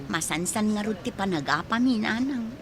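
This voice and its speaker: A woman's voice: mid-range voice, lively intonation, normal speed, crowd noise, close to an everyday voice. An actress with a Filipino accent playing a thrifty, frustrated young working woman who complains emphatically in a radio drama. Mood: disappointed. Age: young adult.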